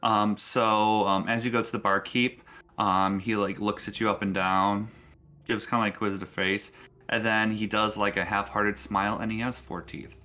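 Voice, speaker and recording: Man, low pitch, bright tone, game master, informal halting narration. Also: little pitch movement. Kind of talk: casual tabletop roleplay narration.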